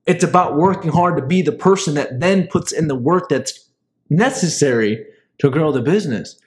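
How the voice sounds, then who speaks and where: A young business owner hosting a podcast, talking casually and earnestly, with frequent swearing and motivational advice. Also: male voice, on the high side, animated, moderate pace. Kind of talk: casual chat.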